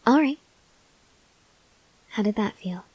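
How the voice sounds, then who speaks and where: A woman playing a gentle, awkward, hungry female vampire in an ASMR roleplay, speaking softly and hesitantly with breathy near-whispers and tender reassurance. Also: medium pitch, expressive, takes it slowly, no room sound, highs cut off. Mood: relieved, reassuring. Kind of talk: ASMR roleplay, intimate address to the listener.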